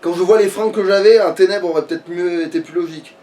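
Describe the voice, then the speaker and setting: A man's voice: high voice, fast, dark tone, slight background noise. A man chatting casually about a video game in crude, slangy language full of swearing. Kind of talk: casual gaming chat. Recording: distant from the mic.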